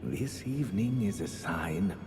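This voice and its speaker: An actor playing a serene, unsettling scholarly old count in a fantasy game, genteel and theatrical, savouring ornate words. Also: male voice, low voice, lively intonation, a little slow, reverberant, loud music behind the voice. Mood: serene, portentous. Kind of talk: scripted video game drama, ornate formal speech.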